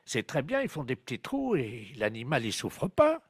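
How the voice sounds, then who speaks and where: A man's voice: medium pitch, wildly swooping pitch, moderate pace, husky, dry recording, studio quality, exaggerated voice. A man voicing a naive, unrepentant angler in a prank call, innocent, puzzled and matter-of-fact. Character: naive, unrepentant male angler, blithely missing the point. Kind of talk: improvised prank phone call.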